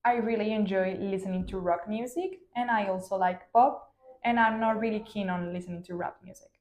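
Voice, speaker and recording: A woman in her twenties, a native Spanish speaker, giving steady, thoughtful answers in a practice speaking exam. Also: mid-range voice, fairly quick. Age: 20s. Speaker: student, exam candidate. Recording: echoey room.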